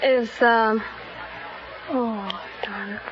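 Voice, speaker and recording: A woman's voice: a little high, steady tone, very slow. An American woman, a housewife, giving short answers as a contestant on a 1950s radio quiz show. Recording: dull, noisy 1950 radio broadcast. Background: studio audience.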